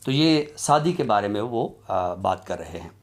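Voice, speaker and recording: A man giving an academic lecture in a deliberate, explanatory way, with halting pauses. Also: on the low side, big pitch swings. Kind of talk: academic lecture on literary criticism.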